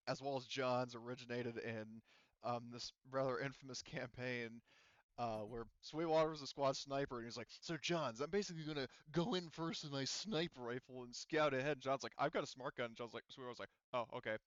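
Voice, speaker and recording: Male game master, smooth and resonant, loose halting table talk, dry muffled recording. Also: medium pitch, dry recording. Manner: rambling, halting, peppered with filler phrases.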